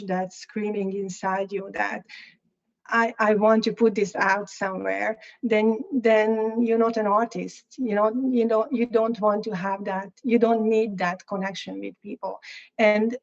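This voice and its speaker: A woman with a Hungarian accent and Hungarian as her first language, an artist speaking in an interview, halting and thoughtful with many restarts. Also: mid-range voice, darkish tone, lo-fi sound. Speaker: guest artist. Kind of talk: interview, reflective talk.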